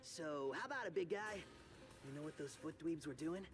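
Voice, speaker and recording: An actor voicing a playful, goofy young ninja turtle who talks in excitable surfer slang. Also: male voice, on the high side, moderate pace, lightly acted. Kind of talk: video game cutscene acting. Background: music.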